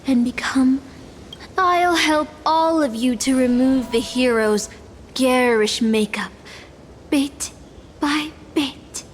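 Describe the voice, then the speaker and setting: An actress playing a solemn, softly menacing woman in a video game, speaking in slow, theatrical, deliberate phrases. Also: high voice, slight background noise. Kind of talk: dramatic game character monologue.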